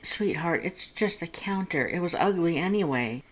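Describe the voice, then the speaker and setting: A performer doing a purring, higher-voiced skeleton sweetheart. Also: lively intonation, normal speed, lightly acted, poor audio. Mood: concerned, tender. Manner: purring, murmuring, coaxing with endearments. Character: affectionate, flirty, soothing male skeleton monster sweetheart.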